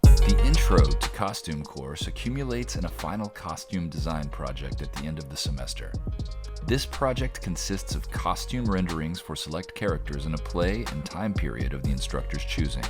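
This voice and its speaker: A man's voice: extremely low pitch, lively intonation, moderate pace. A man reading scripted narration as a program narrator. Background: music.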